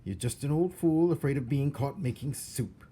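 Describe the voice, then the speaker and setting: A man voicing an excitable, jumpy yet jolly female castle cook from a children's storybook, in an exclamatory way. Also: mid-range voice, normal speed, slight background noise, pitched above the performer's own voice, lightly acted. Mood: nervous, self-scolding. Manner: exclamatory, self-muttering, giggling "hoo-hee".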